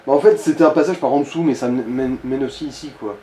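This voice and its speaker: A man with a mellow, relaxed voice of average pitch talks through a game as a co-commentator. His speech is casual and salted with swearing. The room echoes around him and there is some background noise. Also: fast talker. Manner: casual, crude slang and swearing. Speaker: let's play co-commentator guiding the player. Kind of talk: casual gaming chat. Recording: roomy echo with clear background noise.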